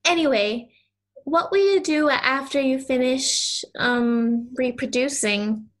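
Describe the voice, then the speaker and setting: A kind young girl, acted by a woman, talks in a high voice at a slow pace. She sounds sincere and childlike, a little hesitant, in the style of an audio drama. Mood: shy curiosity. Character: kind, earnest young girl befriending a mayfly. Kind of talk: audio play acting.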